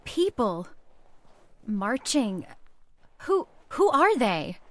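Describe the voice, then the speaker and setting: A distressed heiress, played by an actress, questions in disbelief, halting and anxious. Her high voice moves slowly and swings widely in pitch, in the style of old-time radio horror. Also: sing-song, no room sound, full character voice. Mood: horrified. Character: distressed, disbelieving female heir to a factory fortune.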